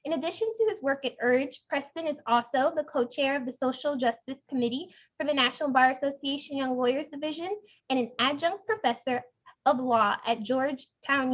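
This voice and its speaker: A woman's voice: high voice, dull audio. A young woman reading a formal scripted welcome and introduction as the chair of an online event. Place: indoors, online video meeting.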